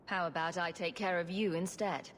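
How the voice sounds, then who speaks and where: Woman voicing an assertive low-voiced game heroine. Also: fairly even intonation, normal speed, a bit bright, big-room sound, slight background noise, lightly acted. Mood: firm. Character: assertive young woman adventurer, self-assured and mercenary-minded. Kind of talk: video game voice acting.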